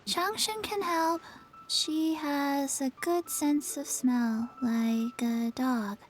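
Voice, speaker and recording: A small undead girl from an anime game, high-voiced and childlike. The words come very slowly, in a sleepy, halting deadpan. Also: faint music behind, poor audio.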